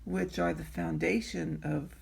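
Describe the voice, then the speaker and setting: A woman with a raspy voice teaching needlework in an easygoing tutorial, pausing often with ums and clearing her throat. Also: on the low side, flat delivery, a little mellow. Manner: slow, unhurried, frequent ums and throat clearing. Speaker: embroidery teacher. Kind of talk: instructional craft tutorial.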